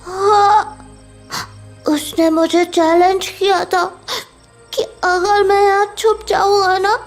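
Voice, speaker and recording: A performer voicing an apologetic young boy in an audio horror drama, pleading haltingly like a child and devoted to his mother. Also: extremely high pitch, exaggerated voice.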